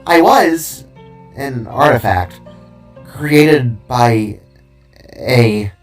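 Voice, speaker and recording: A man's voice: medium pitch, lively intonation, drawn-out words, recorded from a distance. A man voicing a tiny talking cube artifact from a story, talkative yet hesitant, weary and sorrowful. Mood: uneasy, sorrowful. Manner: talkative, hesitant, fumbling for words. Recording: background music under the voice.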